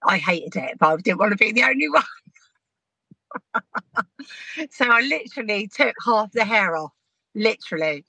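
Brief: woman, 50s, podcast guest, laughs through her stories, bright tone. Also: medium pitch, animated, normal speed, gravelly, long pauses, husky, no echo, poor audio. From Southampton, England. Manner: chatty, frank, laughs while telling stories. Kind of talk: casual storytelling chat.

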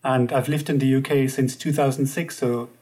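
Relaxed man with a German accent. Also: medium pitch, normal speed, breathy edge. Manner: relaxed, chatty, laughs now and then. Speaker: podcast guest. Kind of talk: casual interview chat.

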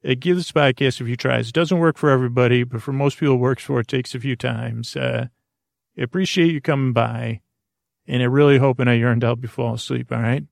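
A man hosting a bedtime podcast in a gravelly voice, rambling through an improvised monologue that halts and circles back on itself. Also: medium pitch, no room sound, studio quality. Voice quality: gravelly, creaky. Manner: meandering, halting, repeats and circles back on words. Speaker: podcast host.

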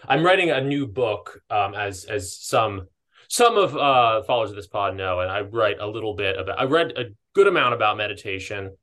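A man's voice: on the low side, lively intonation, moderate pace, fairly bright tone. A man chatting casually as a podcast co-host, hesitant and rambling as he keeps interrupting himself.

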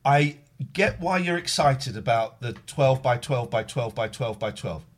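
A man hosting a call-in show with a husky, bunged-up voice. He talks in a playful, teasing way, and his pitch leaps around a lot. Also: lively intonation, measured pace. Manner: playful, jokey, swings into silly low voices. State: blocked nose. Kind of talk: casual banter with callers.

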